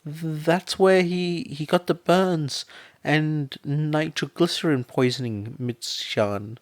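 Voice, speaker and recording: Quiet stammery nurse mom, guy voicing her, slightly higher voice. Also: slow, no echo. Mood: grim. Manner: soft, stammering, clinical. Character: gentle weary middle-aged mother, a nurse.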